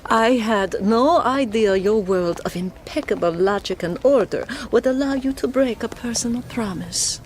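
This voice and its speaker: A woman playing an earnest, searching wife in an audio drama, with hesitant, formal speech and a quiet stubborn streak. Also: on the high side, moderate pace, few pauses, a little hiss or hum, lightly acted. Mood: earnest, insistent.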